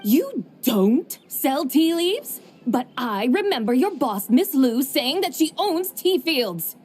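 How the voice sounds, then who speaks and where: A woman's voice: high-pitched, sing-song, slow pace, a little mellow, soft background music, heavily acted. A woman voicing a drowsy, formal mystic woman with grand, old-fashioned manners in a game cutscene. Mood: stately.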